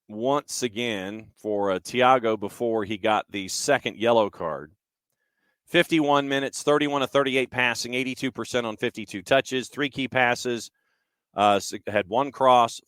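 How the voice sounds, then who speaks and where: A man's voice: medium pitch, a bit bright, clear, resonant tone, dry recording, clean studio sound. A man hosting a sports show, talking through match analysis and statistics conversationally in short, clipped fragments.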